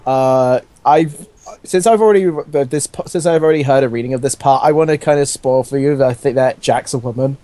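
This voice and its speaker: A man's voice: medium pitch, a little fast, warm tone, dry recording. A man casually reading fan fiction aloud and joking while gaming, often breaking into giggles as he goes. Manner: giggly, breaks up laughing mid-sentence. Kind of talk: casual joking read-along during gaming.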